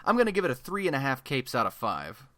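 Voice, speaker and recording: Man playing young male amateur radio host, American accent, radio play, bright crisp-edged tone, casual wisecracks, self-deprecating, medium pitch, very dry sound. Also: huge pitch swings, quick pace, dry recording. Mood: chatty. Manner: informal, wisecracking, conversational. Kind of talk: casual podcast-style monologue in a radio play.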